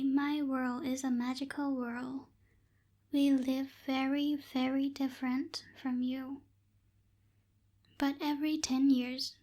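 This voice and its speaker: A woman playing a proud, bossy but helpful young night witch in an ASMR roleplay, speaking hesitantly with soft asides, close to the microphone. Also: high voice. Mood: proud, explaining. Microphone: close binaural microphone.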